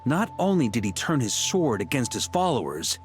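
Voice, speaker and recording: A composed male knight commander played by an actor in a video game. His medium-pitched voice moves expressively while staying formal and earnest, over faint music. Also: big pitch swings, normal speed, natural voice. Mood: grave.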